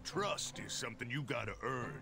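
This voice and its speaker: A man voicing a gruff, distrustful veteran commander in a video game, with a gravelly voice. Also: mid-range voice, lively intonation, moderate pace, over music, lightly acted.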